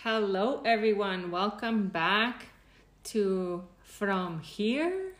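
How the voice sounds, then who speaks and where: A woman's voice: mid-range voice, expressive, very slow, fairly bright tone, reverberant. A middle-aged woman from Mexico co-hosting a podcast, chatting casually and mixing English with Spanish. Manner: enthusiastic, switches between English and Spanish. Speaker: podcast co-host. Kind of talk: bilingual casual chat.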